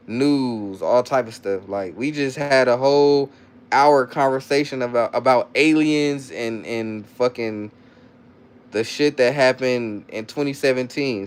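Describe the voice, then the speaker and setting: A man's voice: mid-range voice, a little mellow, clean tone. A young man talking loosely on a livestream, reading chat comments aloud and answering them in rambling slang with lots of restarts. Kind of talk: casual live-stream chat.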